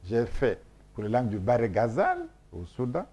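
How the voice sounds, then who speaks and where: A man from Congo whose mother tongue is Mboshi lays out his research as an interview guest. He talks in an emphatic, lecturing, repetitive way with many hesitations. His voice is mellow and dark, at a medium pitch. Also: huge pitch swings, moderate pace, slightly rough. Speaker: scholar being interviewed. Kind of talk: explanatory interview talk.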